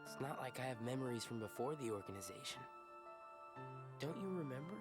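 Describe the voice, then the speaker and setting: A man's voice: medium pitch, animated, brisk, close to an everyday voice. A man voicing an earnest, subdued teenage boy in a video game. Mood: reflective. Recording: game audio with soft music.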